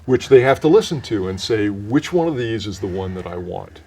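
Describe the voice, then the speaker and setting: Mellow older man explaining at length. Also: lowish voice, animated, slightly rough. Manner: measured, explanatory, with frequent restarts. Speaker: voice actor guest. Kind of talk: interview answers, explanatory.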